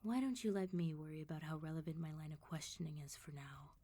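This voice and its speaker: A woman voicing a suave, menacing female vampire mob boss in an ASMR roleplay, politely teasing and threatening with unhurried control. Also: deep voice, dark tone. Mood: probing, controlled.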